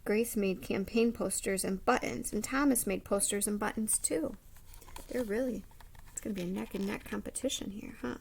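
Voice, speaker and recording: A woman reading a children's story aloud expressively, with dramatic pauses and hushed asides. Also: mid-range voice, creaky, a little hiss or hum. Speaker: storybook reader.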